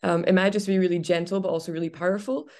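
Low, gravelly woman reviewing films. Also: steady tone, very fast, a little mellow. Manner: enthusiastic, many fillers. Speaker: film reviewer. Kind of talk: casual radio film review. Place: radio studio.

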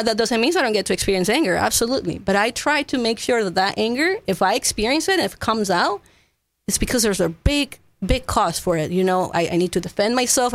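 A woman's voice: mid-range voice, no room sound. Earnest woman from Ecuador explaining, dry sound. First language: Spanish. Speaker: guest, massage therapist. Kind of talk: conversational interview, explaining.

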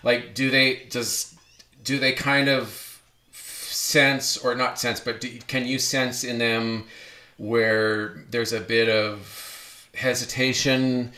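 A man's voice: mid-range voice, little pitch movement, slow, pushed and bright. A man hosting a podcast conversation, rambling with many restarts and self-corrections. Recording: echoey room.

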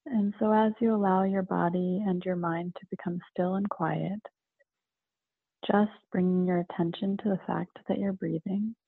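A woman leading a guided meditation in a calm, soothing manner, with gentle pauses between phrases. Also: fairly low pitch, vocal fry, breathy edge, dry recording. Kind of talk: slow guided meditation narration. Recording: narrow phone-like, muffled sound.

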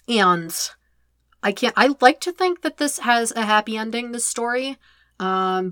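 A woman's voice: medium pitch, pushed and bright, no room sound, clean studio sound. A woman reading podfic, giving a candid, rambling afterword with many fillers. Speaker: podfic reader. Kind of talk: casual spoken afterword.

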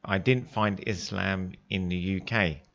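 English man, radio studio guest, low gravelly voice, relaxed talk, dull lo-fi sound. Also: a little slow. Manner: relaxed, conversational, with Arabic greetings. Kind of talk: casual radio interview.

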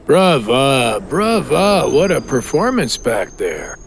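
A man's voice: medium pitch, sing-song, takes it slowly, gravelly, slight background noise, full character voice. An actor playing the taunting, cryptic ghost of a dead man in an audio drama, mocking and insistent.

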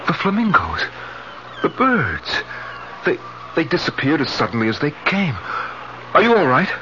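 An actor playing an anxious, jealous middle-aged husband in a 1970s radio drama, with dramatic, intense delivery. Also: male voice, fairly high pitch, huge pitch swings, moderate pace, bright, forceful tone, muffled recording, slight background noise, heavily acted. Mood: bewildered. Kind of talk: 1970s radio drama acting and first-person narration. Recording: band-limited 1970s radio recording.

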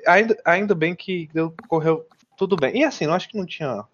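A man's voice: high voice, a little fast, fairly bright tone, muffled recording. A Brazilian man casually recounting his trip, rambling with restarts and slang. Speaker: guest telling about living abroad. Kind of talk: casual chat.

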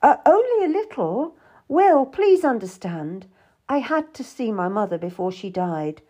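A woman's voice: on the low side, lively intonation, moderate pace, darkish tone. A woman voicing a distressed, pleading woman in an audiobook drama, emotional and defensive. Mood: apologetic, pleading.

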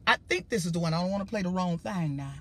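A man with a high-pitched voice hosting his own livestream as a preacher, talking to viewers in an emphatic, rambling way. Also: lively intonation, fast. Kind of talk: casual livestream talk.

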